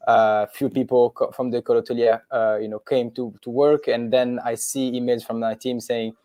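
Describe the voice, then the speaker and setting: A man's voice: mid-range voice, little pitch movement, moderate pace, warm tone, dry recording. A man from Switzerland, a business co-founder, sharing rambling anecdotes in a webinar discussion.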